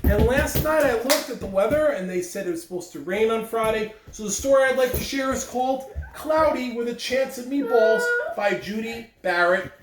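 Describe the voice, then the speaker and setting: A man speaking simply and directly to children, as in school morning announcements and story read-alouds. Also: high-pitched. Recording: echoey room, distant mic, faint noise.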